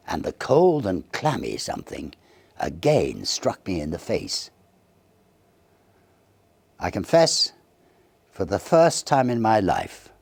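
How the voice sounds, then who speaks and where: A man narrates a Victorian ghost tale in a low, gravelly voice. His delivery is theatrical and measured, with animated swoops and dramatic pauses. He sounds like a classic storyteller at work. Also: dry recording. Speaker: audiobook narrator.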